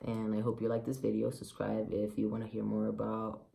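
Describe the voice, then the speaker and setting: A man's voice: fairly low pitch, completely flat delivery, a little fast, creaky, mellow, slight background noise. A man of about twenty, raised in Mexico, with a light Mexican Spanish accent, talking candidly and rambling with many fillers in a casual personal vlog. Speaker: vlogger.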